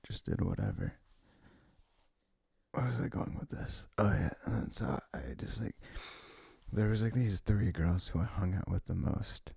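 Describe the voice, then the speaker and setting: A man with a husky, creaky voice rambling informally and haltingly to one listener in an intimate ASMR chat, close to the mic. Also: sits low, dark tone, dry recording. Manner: sniffles habitually. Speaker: ASMR audio performer.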